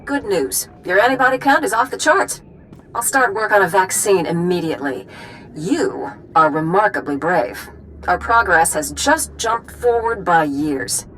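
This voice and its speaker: An actress playing a driven doctor who speaks in a brisk, blunt, businesslike way. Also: female voice, fairly low pitch, lively intonation, a bit bright, slight background noise, low-quality recording.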